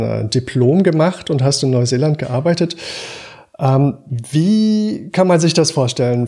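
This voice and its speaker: A man's voice: medium pitch, a little mellow. A man hosting a podcast, chatting in a friendly way and asking his guest questions. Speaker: podcast host. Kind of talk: casual podcast interview.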